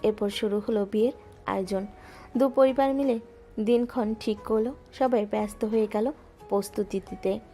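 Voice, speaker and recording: Mellow woman narrating a love story. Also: mid-range voice, steady tone, normal speed. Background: soft background music.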